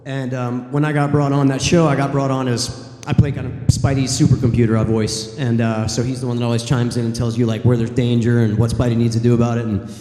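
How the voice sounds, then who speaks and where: A man's voice: medium pitch, mellow. A man on a convention panel, a voice actor, talking through his answer in a rambling way with many fillers. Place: large convention hall. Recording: echoey hall with long reverb.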